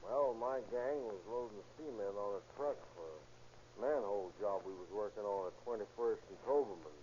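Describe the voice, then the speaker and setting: A man's voice: lowish voice, normal speed, dark tone, muffled recording, a little hiss or hum, lightly acted. An actor playing a surly, defensive working-class man with blunt, slangy speech, in 1930s radio drama style on an old recording. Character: surly, defensive working-class ex-husband under police questioning.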